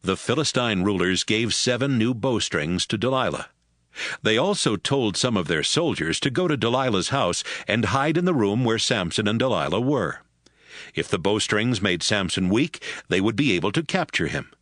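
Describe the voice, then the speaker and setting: Low-voiced male scripture narrator. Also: very animated, moderate pace, fairly bright tone, dry recording, studio quality.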